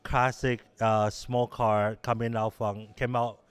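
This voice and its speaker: Halting middle-aged man from Taiwan. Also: lowish voice, level tone, smooth. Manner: halting, many restarts and fillers. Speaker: interviewed innovation lab director. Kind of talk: conversational interview answers.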